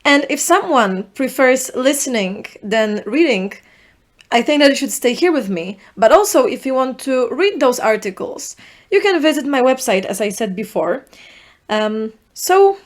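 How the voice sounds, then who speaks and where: A young woman with a Polish accent, a native Polish speaker, hosting a solo podcast in an earnest, reflective way, speaking in short halting phrases. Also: high-pitched, animated. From Poland. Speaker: podcast host.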